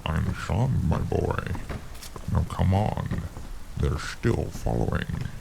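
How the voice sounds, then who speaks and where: A man playing a mysterious, old-fashioned rescuer in an audio drama, gravelly and commanding, urging and patronizing like a stern elder. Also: deep voice, animated, slow, noisy background, exaggerated voice. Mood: urgent, commanding.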